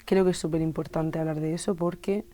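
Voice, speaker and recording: A woman in her twenties from Spain, an illustrator and musician, talking thoughtfully in an interview with many pauses and fillers. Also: low voice, fairly even intonation, mellow. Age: 20s. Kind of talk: reflective interview monologue.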